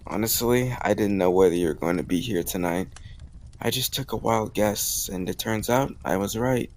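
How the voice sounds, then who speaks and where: A man's voice: on the low side, normal speed, a little mellow, close to an everyday voice. A man playing a bold, teasing young college student in love, in an intimate, conversational romantic audio roleplay. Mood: playful, teasing.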